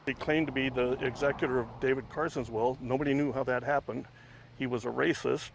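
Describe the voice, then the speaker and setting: A man giving a casual, halting, plainspoken explanation as a documentary interviewee. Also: mid-range voice, fairly even intonation, gravelly, a little hiss or hum, rough recording.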